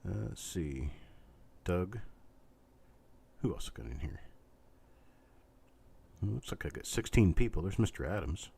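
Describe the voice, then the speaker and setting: A man hosting a casual live online auction stream, chatting in a rambling, halting, folksy way with a scratchy, stuffed-up voice weakened by illness. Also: low voice, expressive, creaky, mellow, breathy edge. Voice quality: congested, stuffed-up nose. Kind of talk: casual livestream chat with viewers.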